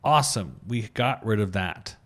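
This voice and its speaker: A man's voice: fairly low pitch, expressive, moderate pace, a bit bright. A man presenting a screencast tutorial, narrating each step in a casual, informal way and thinking aloud as he demonstrates. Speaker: tutorial presenter, web designer.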